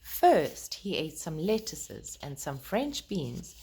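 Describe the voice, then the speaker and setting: A woman's voice: low voice, sing-song, slow pace, warm tone, breathy edge. A woman hosting a children's storytime, reading aloud and talking directly to young listeners in simple, explanatory language. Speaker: children's storytime host and reader.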